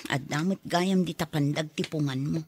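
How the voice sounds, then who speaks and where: Weary young Filipino wife voiced by an actress. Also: female voice, low-pitched, moderate pace, darkish tone, slight background noise, close to an everyday voice. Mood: weary, pleading. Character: worn-down young wife and mother, pleading with husband. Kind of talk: Filipino radio drama acting.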